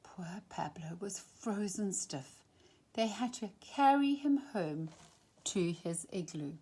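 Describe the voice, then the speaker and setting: A woman reads a picture book aloud to children in an expressive style. Her pitch swings widely while the pace stays leisurely, and she stretches phrases for dramatic emphasis. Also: husky. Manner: animated, dramatic emphasis with drawn-out phrases and hushed moments. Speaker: storytime reader.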